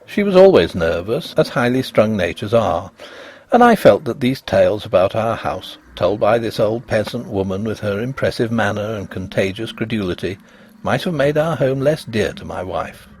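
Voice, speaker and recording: Expressive male storyteller with gravelly creak. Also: on the low side, moderate pace. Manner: expressive, literary delivery. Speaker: story narrator. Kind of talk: scripted narration of a Victorian ghost story.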